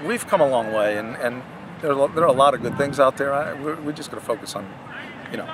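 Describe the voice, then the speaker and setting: Older male coach, post-game sideline interview, halting delivery, clear outdoor noise. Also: medium pitch. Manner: halting, many restarts and fillers. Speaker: head soccer coach. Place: outdoor soccer field at night.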